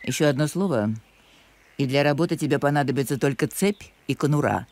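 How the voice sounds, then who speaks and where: A woman's voice: deep voice, fairly quick, noisy background. An actress playing a strict, haughty older nanny in a cartoon, bossy and condescending, with music behind her. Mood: stern. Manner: imperious, condescending. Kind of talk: cartoon voice acting.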